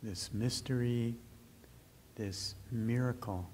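A man leading a guided meditation as its teacher, giving gentle, measured instructions with long pauses, on a rumbly, noisy recording. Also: steady tone.

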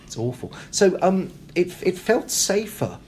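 A man's voice: high-pitched, very animated, unhurried, a little mellow. A British man chatting as an interview guest, talkative and self-deprecating, with hesitant, repeated words. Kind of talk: casual interview conversation. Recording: clear background noise, room ambience.

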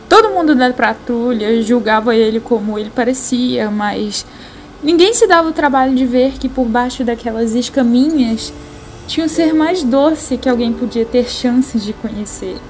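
A woman's voice: a little high, normal speed, faint music behind, close to an everyday voice. An actress voicing a grieving young woman in an audio drama, speaking in an urgent, sorrowful way.

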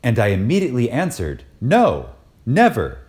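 A man reading a spiritual lecture aloud in a reverent, dramatic style, deliberate and emphatic, with pauses for effect. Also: medium pitch, wildly swooping pitch, a little mellow.

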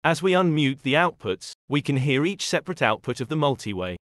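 A man reading a scripted software tutorial in a measured, instructional way. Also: fairly high pitch, big pitch swings, no echo, clean studio sound. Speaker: tutorial narrator.

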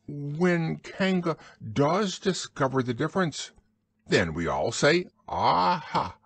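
A man voicing a bossy, scheming male rabbit from a storybook, brisk and instructing in manner. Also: fairly high pitch, animated, slightly rough, dry recording, pitched above the performer's own voice, full character voice. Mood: explaining.

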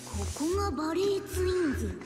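A young cat girl in an anime game cutscene. She is feisty, casual and cute, with a very high, swooping voice. Loud music plays behind her. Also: sing-song, normal speed. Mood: curious, uneasy. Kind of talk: Japanese game voice acting.

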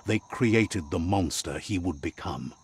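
A man narrating a game in a measured, solemn storytelling manner, retelling what a creature says. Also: low-pitched, animated, vocal fry, a little hiss or hum.

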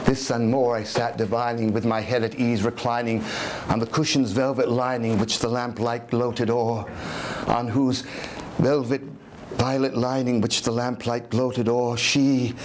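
A man in his sixties giving a theatrical poetry recitation, deliberate and full of dramatic pauses. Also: mid-range voice, little pitch movement. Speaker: dramatic reader. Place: dim candlelit room in an old house, live before a small audience. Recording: noisy live room recording.

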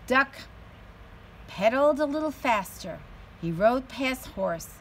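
A woman's voice: high voice, expressive, slow pace, a bit bright. A middle-aged woman reading a picture book aloud to children in a storytelling style, recorded outdoors on a patio. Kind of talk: slow read-aloud storytelling. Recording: some background noise, outdoor ambience.

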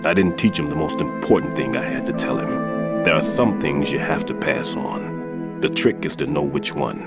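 An actor playing a grave, weary older bomb-disposal expert in a video game, measured and brooding. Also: male voice, very deep voice. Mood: regretful, brooding. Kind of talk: dramatic video game acting. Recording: background music under the voice.

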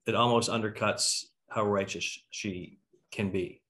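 A man's voice: on the low side, steady tone, measured pace, fairly bright tone. A middle-aged man hosting a casual panel on a video call, musing aloud as he works toward his questions. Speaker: talkback host. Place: indoor room on a video call. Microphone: video call computer microphone.

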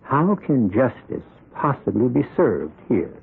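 Mystery host: ominous wry storyteller, gravelly low voice with sweeping intonation, dramatic pauses, old radio sound. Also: male voice, sing-song, full character voice. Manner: theatrical, deliberate, with dramatic pauses. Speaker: host and narrator. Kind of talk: 1970s radio mystery narration. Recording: muffled 1970s radio broadcast, music behind.

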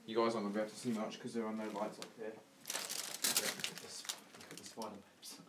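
A woman's voice: very deep voice, level tone, brisk. A woman speaking casually off to the side of the phone, blunt and prone to swearing when startled. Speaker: exploring companion. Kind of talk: casual chat. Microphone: off-camera, away from the phone. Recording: distant, echoey, noisy room sound.

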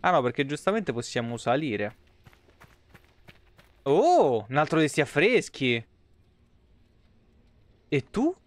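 A man's voice: a little high, big pitch swings, takes it slowly, pauses often. A man, giving blunt, wry, sweary live commentary as he streams a video game. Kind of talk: casual live gameplay commentary.

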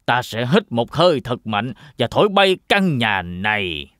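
Sly fairy tale villain wolf: threatening drawl, very slow, dry close sound. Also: male voice, medium pitch, big pitch swings, a bit bright, no echo. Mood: menacing. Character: sly, menacing male big bad wolf. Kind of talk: children's fairy tale cartoon dub.

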